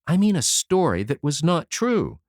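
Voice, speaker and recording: A reader voicing a gentle, earnest young schoolteacher woman who speaks softly, in an audiobook. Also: medium pitch, very animated, normal speed, dry recording, studio quality, voice pushed up, close to an everyday voice. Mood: sorrowful. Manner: soft, sorrowful, earnest. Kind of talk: audiobook character voice.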